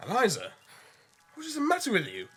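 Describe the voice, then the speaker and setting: A man's voice: very high-pitched, wildly swooping pitch, fairly quick, pushed and bright, breathy edge, faint music behind, exaggerated voice. An actor playing a strict, haughty father in a period radio drama, speaking formally and with command. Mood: formal, condescending. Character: strict, haughty Victorian father, condescending to his daughters.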